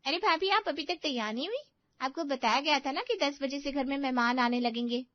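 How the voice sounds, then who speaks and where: Lady doing a bossy stuck-up young sister in law, high voice, muffled dry audio. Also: brisk, no room sound, lightly acted. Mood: haughty.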